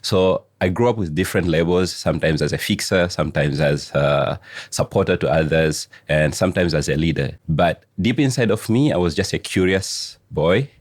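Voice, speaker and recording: A middle-aged man from Tanzania, a coach answering interview questions slowly, pausing often as he searches for words. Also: low voice, dry recording, clean studio sound. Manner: slow, searching phrasing with frequent "uh" pauses. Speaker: guest coach. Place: studio.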